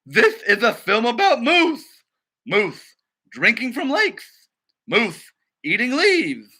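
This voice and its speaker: A man voicing a bossy, exasperated duck film director with a shouty, commanding manner in a picture book read-aloud. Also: very high-pitched, wildly swooping pitch, slow, husky, voice pushed up, full character voice. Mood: indignant.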